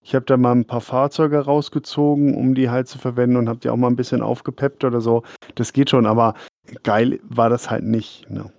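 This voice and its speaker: A man's voice: mid-range voice, fairly even intonation, brisk, creaky, a little mellow, no echo, studio quality. A man hosting a casual hobby podcast, chatty and rambling, often laughing. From Germany. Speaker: podcast host. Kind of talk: casual chat.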